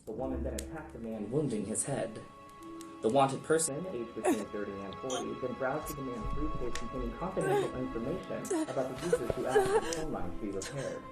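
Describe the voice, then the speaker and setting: Male news anchor, formal game-cutscene bulletin, quick clip, roomy echo, music behind. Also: mid-range voice, huge pitch swings. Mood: formal, serious. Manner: formal, measured newsreader delivery. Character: male television news anchor reading a crime report.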